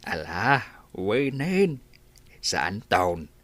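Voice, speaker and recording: A man acts out an elderly village grandfather in a radio comedy drama. He speaks slowly with an Ilocano accent, in a low, bright voice that moves freely up and down as he gently teases. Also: very animated, no echo, heavily acted. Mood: gentle, reassuring.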